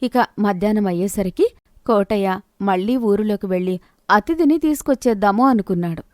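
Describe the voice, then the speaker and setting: A woman's voice: mid-range voice, expressive, fairly quick, a little mellow, no room sound, clean studio sound. A woman narrating a scripted moral story in a storybook style.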